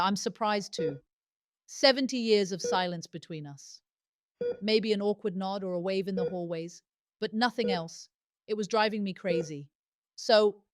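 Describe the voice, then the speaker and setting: A candid, warm-hearted warplane girl, voiced by a woman, with a mid-pitched voice. The delivery is heartfelt audio drama acting. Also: noisy background, close to an everyday voice.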